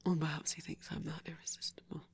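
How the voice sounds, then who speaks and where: A performer voicing a flirty, teasing male vampire in a hushed, breathy, intimate ASMR whisper. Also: mid-range voice, little pitch movement, quick pace.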